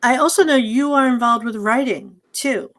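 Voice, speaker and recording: A woman's voice: on the high side, moderate pace, a bit bright. A woman chatting casually and earnestly as the host of a community theater event, effusive in manner. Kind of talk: casual, unscripted hosting chat.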